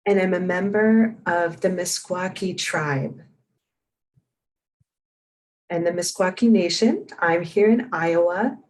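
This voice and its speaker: Woman interpreter, heard from a distance. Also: lowish voice. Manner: measured, steady, spells names letter by letter. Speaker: sign language interpreter voicing a deaf panelist. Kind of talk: live spoken interpretation.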